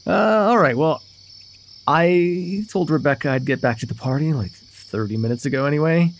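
A young man voicing a casual, mischievous vampire chatting informally and haltingly in an immersive roleplay. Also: on the high side, close to an everyday voice. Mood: friendly, easygoing. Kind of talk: immersive ASMR roleplay voice acting.

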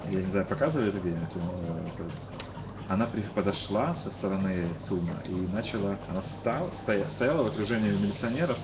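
A tired young man from Kyiv answering questions in a casual live-stream interview outdoors, speaking haltingly with many restarts. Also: fairly low pitch, lively intonation, noisy background. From Kyiv, Ukraine. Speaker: interviewee, musician. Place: outdoors at a street protest camp. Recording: lo-fi stream with loud outdoor ambience.

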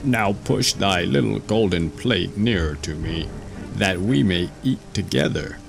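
A demanding enchanted frog from a storybook, voiced by a man, speaks in a low, slow voice. He presses his requests imperiously, in archaic phrasing. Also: expressive, creaky, music in the background, full character voice. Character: insistent, demanding male frog, an enchanted prince.

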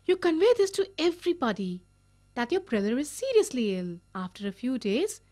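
Clever young servant woman for kids' animation, high voice, lively melody, deliberate delivery. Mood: respectful, scheming. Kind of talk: animated children's story dialogue.

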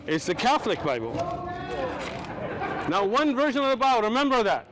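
A man's voice: very high-pitched, big pitch swings, fairly quick. A man in a heated outdoor street debate, repeating his points insistently and talking over the other side. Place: outdoor street event with a crowd. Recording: crowd noise behind.

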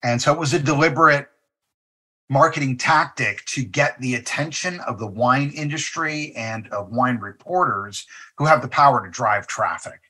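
A man's voice: mid-range voice, moderate pace, pushed and bright, slightly rough. A man from a winery speaking in a podcast interview, emphatic and promotional. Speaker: winery representative.

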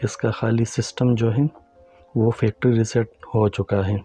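A man calmly narrating a step-by-step phone tutorial and talking to viewers as friends. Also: fairly low pitch, moderate pace, soft background music. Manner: calm, instructive, addresses viewers as friends. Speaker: tech tutorial host.

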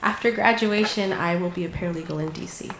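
A woman's voice: fairly low pitch, fast, background noise. A young woman from California, a student panelist, introducing herself casually in a university seminar room. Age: young adult. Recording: echoey room with crowd chatter.

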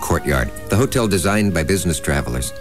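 A man's voice: a bass voice, sing-song, a little fast. A man reading a scripted commercial voice-over in a wry, conversational way. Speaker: commercial announcer. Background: guitar music.

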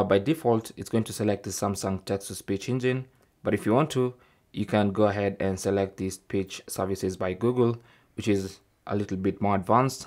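Low-voiced man giving step-by-step instructions. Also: darkish tone. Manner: methodical, informal, often tags phrases with "okay". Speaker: tech tutorial presenter.

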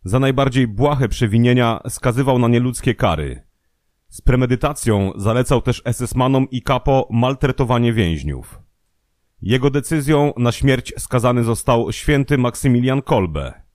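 A man's voice: mid-range voice, moderate pace, darkish tone, dry recording, studio quality. A man reading scripted documentary narration about history and crime. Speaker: narrator of a true-crime history audio programme.

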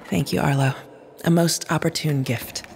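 A woman playing a formal, controlled, android-like woman in an audio drama, speaking in a stilted, measured manner. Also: low voice, fairly even intonation, gravelly, darkish tone, a little hiss or hum. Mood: grateful, formal.

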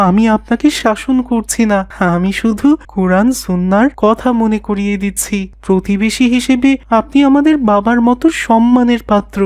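A woman's voice: medium pitch, darkish tone, clear, resonant tone, no echo, studio quality, natural voice. A woman voicing a polite, earnest young boy who seeks peace in a moral cartoon.

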